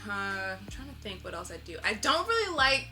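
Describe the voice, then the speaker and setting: Woman, bright forceful voice, mid pitch, informal and reflective artist interviewee, music underneath. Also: sing-song, a little fast. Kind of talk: casual interview chat. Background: instrumental lo-fi music.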